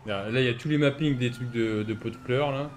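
A man's voice: medium pitch, fairly quick, a little hiss or hum. A man giving casual, slangy gameplay commentary in a grumbling way, like a streamer. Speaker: gaming video maker, streamer.

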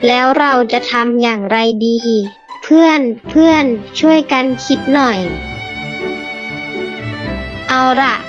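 A woman's voice: high voice, moderate pace, highs cut off, over music, close to an everyday voice. A woman voicing a curious, kind young child adventurer who speaks with wonder to young listeners in a storybook tale. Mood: thoughtful, kind. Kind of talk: children's story hosting and acting.

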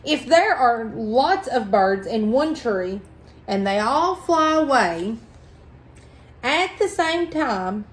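A middle-aged woman reading a picture book aloud to children, phrasing expressively and deliberately. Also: on the high side, animated, poor audio. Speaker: library storytime reader. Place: indoors at a table in a small room.

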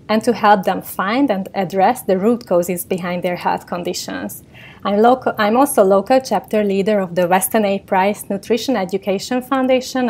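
A woman's voice: mid-range voice, darkish tone. Woman host, Hungarian native, formal intro. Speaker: podcast host, nutritionist. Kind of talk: scripted introduction then interview.

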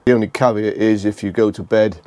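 A British man giving a casual, unscripted walkthrough tour, speaking haltingly and repeating phrases as he goes. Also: lowish voice, fairly even intonation, mellow, a little hiss or hum. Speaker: vlogger giving a motorhome tour.

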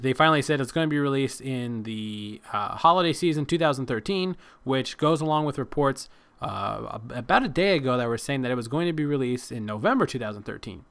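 A man with a smooth, clear voice talks casually as a gaming news vlogger. He rambles a little, feeling his way through sentences in loose, informal language. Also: medium pitch, fairly bright tone. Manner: rambling, hesitant, informal with swearing. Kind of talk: casual commentary.

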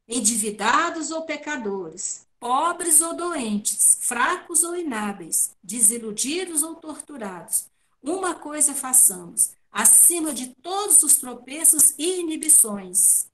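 A woman's voice: high voice, fairly bright tone. A middle-aged Brazilian woman giving a didactic devotional talk, asking and answering her own questions, speaking into an earphone headset on a video call. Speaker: guest speaker at a spiritist talk. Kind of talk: devotional talk, explaining read passages. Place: at home indoors on a video call. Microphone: wired earphone headset.

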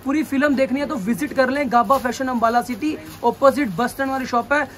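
A young man, the owner of a clothing shop, making a sales pitch and insistently repeating reassurances to the camera inside his large store. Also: fairly even intonation, fairly quick, fairly bright tone.

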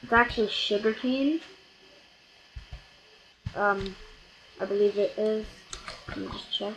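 Casual let's play gamer, slow drawl, rambling and self-correcting, hissy noisy home recording with mouse clicks. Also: mid-range voice. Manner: rambling, self-correcting, sudden excited outbursts. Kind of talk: casual gameplay commentary.

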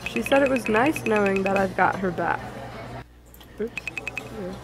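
A woman's voice: on the high side, creaky, low-quality recording. A woman streaming a game, chatting casually with friends and reading its story text aloud.

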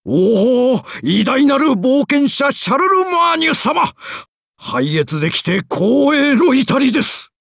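A man playing an eccentric, courtly old knight in a game, creaky and husky, with a pompous, reverent, theatrical manner. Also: very high-pitched, big pitch swings, slow, a bit bright, no room sound, clean studio sound, exaggerated voice. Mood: genial, reverent. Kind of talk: game character acting.

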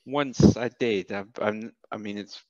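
A British man in his thirties, raised in Wales, chatting casually as an interview guest over a headset, with relaxed, halting speech full of fillers. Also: lowish voice, no echo. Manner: relaxed, many fillers and restarts. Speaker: guest, cap business founder. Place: indoor room, video call. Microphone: headset on video call. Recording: dull, dry video-call audio.

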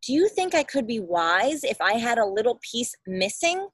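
A woman's voice: on the high side, big pitch swings, moderate pace, breathy edge, dry recording, studio quality. A woman voicing a wise cave-dwelling creature in a children's storybook, emphatic and instructing. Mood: knowing.

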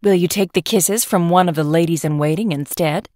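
A woman voicing a haughty, bossy lady-in-waiting in a fairy tale, brisk and imperious. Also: fairly low pitch, a little mellow, no echo, clean studio sound, close to an everyday voice. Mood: bargaining. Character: haughty, scornful young lady-in-waiting, bossy royal servant.

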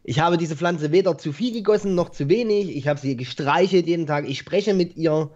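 A man's voice: on the high side, a little fast, a little mellow. A man in his thirties hosting a live stream in a chatty, self-mocking way, talking straight to the viewers over a headset. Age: 30s. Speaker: host. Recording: dull lo-fi call audio.

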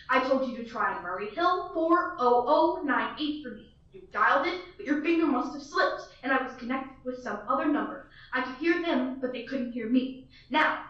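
A woman's voice: sits high, bright, forceful tone. A young woman acting a fretful, demanding wealthy woman on the telephone in a school radio play, indignant and insistent. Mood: fussy. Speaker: student actor. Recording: dull, roomy, from far off.

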